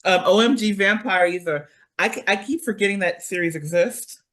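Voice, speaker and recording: A woman's voice: mid-range voice, normal speed, a bit bright. A woman in casual chat, talking bluntly and swearing freely. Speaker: podcast guest.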